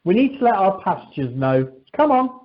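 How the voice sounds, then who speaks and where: A woman playing a brisk, capable airline crew member in an amateur radio play. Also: mid-range voice, very animated, close to an everyday voice. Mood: businesslike. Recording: dull telephone-conference recording.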